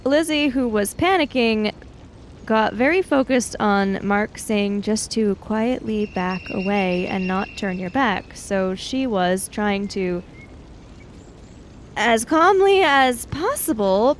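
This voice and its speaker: A woman narrating her character's actions in a tabletop roleplaying game, in measured short phrases. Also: mid-range voice, slight background noise. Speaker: tabletop RPG player.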